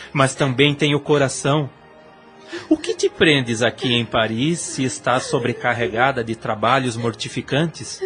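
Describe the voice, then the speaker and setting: A man's voice: mid-range voice, lightly acted. A Brazilian man playing a lovesick former priest in an audio drama, pleading insistently in formal, impassioned speech.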